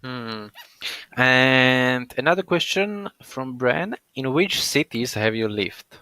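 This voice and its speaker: A man hosting an interview, asking questions in a halting, hesitant way. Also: mid-range voice, expressive, bright, forceful tone, smooth, dry recording. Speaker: host.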